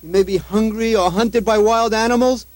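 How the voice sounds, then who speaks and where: A man's voice: high-pitched, fairly even intonation, moderate pace, dry recording, close to an everyday voice. A man playing a remorseful king and anxious father with anguished, melodramatic puppet show delivery. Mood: worried, grieving. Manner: anguished, dramatic.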